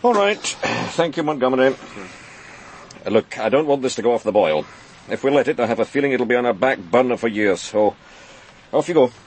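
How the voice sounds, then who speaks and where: An actor playing an impatient senior police detective in a radio crime drama, with a brisk, authoritative, dry manner. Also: male voice, mid-range voice, animated, slight background noise, natural voice. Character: impatient senior police detective, male, running a murder investigation.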